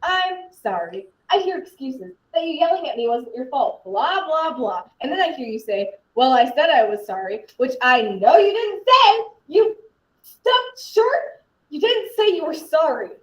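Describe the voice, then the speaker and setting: A woman's voice: high voice, very animated, moderate pace, few pauses. A young woman playing a blunt, indignant teenage girl in a live scene, sarcastic and confrontational in her slangy delivery. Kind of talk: live duo scene performance. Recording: roomy, distant, dull microphone.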